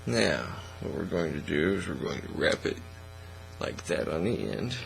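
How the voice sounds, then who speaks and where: A middle-aged man giving a casual, folksy, unhurried how-to demonstration at an indoor hobby bench. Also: extremely low pitch, big pitch swings, vocal fry. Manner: folksy, unhurried step-by-step talk. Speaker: hobby craft tutorial host. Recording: faint mains hum and noise.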